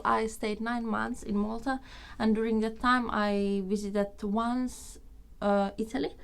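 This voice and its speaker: A woman's voice: medium pitch, gravelly. A young woman in her twenties from Finland, with a Finnish accent, telling a personal story casually as a vlogger. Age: 20s. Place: small room indoors.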